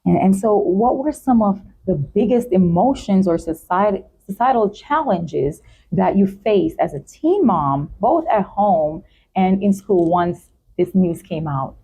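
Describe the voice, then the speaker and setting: A woman's voice: mid-range voice, animated, a little slow, dark tone, husky, low-quality recording. A woman talk show host with an effusive, polite presenting manner, speaking in announcements and interview chat.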